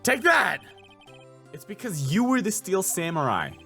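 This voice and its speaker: Male voice actor as earnest young game attorney: flustered, highly animated intonation, exasperated edge, faint music. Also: a little slow, vocal fry, exaggerated voice. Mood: explaining.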